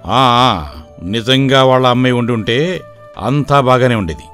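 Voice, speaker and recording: A man playing a weary, worried but shrewd middle-aged village father in an animated moral story, speaking plainly and earnestly. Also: medium pitch, moderate pace, clean tone, lightly acted. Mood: weary, regretful. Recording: background music throughout.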